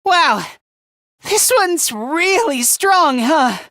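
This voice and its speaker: A man voicing a timid, self-deprecating yet excitable teenage boy in a video game, with a hesitant, stammering delivery and theatrical anime-style acting. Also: very high voice, drawn-out words, no echo, studio quality, heavily acted. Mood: nervous, resolute. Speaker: voice actor.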